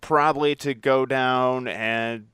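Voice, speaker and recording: A man hosting a casual interview, thinking aloud in a halting, conversational way. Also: mid-range voice, fairly even intonation, fairly bright tone, clean tone, no echo, clean studio sound. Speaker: podcast host, interviewer.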